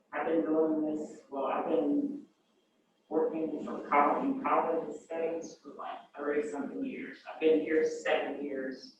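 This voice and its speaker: A woman's voice: low-pitched, very echoey, background noise. Woman, 50s, from Illinois, academic advisor, chatty, distant mic, dull lo-fi sound. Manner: chatty, friendly greeter. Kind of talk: casual chat with students before a workshop.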